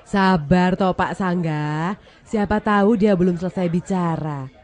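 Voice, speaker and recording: A woman's voice: on the low side, a little slow, a little mellow, some chatter behind, close to an everyday voice. A woman playing a playful, teasing café discussion host in a radio drama, informal and coaxing.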